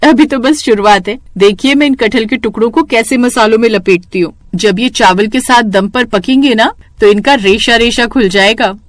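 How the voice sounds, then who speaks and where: A woman's voice: fairly high pitch, normal speed, hardly any pauses, no room sound, lightly acted. A woman playing a practical, confident young village wife in a cartoon moral story, explaining things with assurance.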